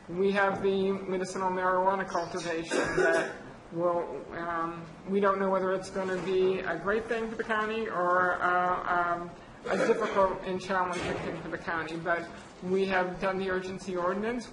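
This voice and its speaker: A woman presenting a county budget update at a public board meeting, halting with many fillers and restarts. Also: lowish voice, a bit bright. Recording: echoey chamber with air conditioner noise.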